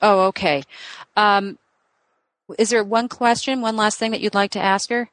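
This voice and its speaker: A chatty woman psychic giving a reading, her talk full of fillers and quick strings of questions. Her voice sits at a middle pitch. The sound is dry and close, with no room echo. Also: fairly even intonation, a bit bright. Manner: chatty, thinking aloud with many fillers; asks many quick questions in a row. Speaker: psychic medium. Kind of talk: live reading session with a client.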